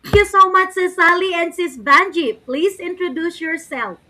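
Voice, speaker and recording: A woman's voice: very high voice, normal speed, pushed and bright, poor audio. A woman, upbeat and formal as host of a live online interview. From Philippines.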